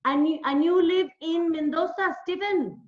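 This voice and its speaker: High-pitched woman interviewer, dull echoey sound. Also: moderate pace, background noise. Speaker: interviewer, English teacher. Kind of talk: informal interview over video call. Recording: dull, echoey call audio.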